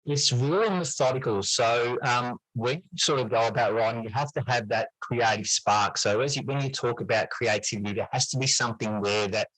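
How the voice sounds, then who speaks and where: A middle-aged man talks as an interview guest, explaining things reflectively. His voice is bright and clear-edged, at an ordinary male pitch. The sound is dry, with very little room around it. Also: fairly quick, few pauses, no room sound, low-quality recording. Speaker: interview guest, teacher and writer. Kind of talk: conversational interview answers.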